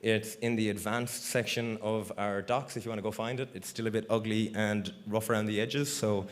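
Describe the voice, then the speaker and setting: A man with a fairly low voice gives a conference talk over a stage microphone. He keeps it relaxed and conversational, leaning on fillers as he works through audience questions. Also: moderate pace. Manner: casual, loose, full of fillers like "you know" and "like". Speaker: conference speaker. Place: large conference hall stage.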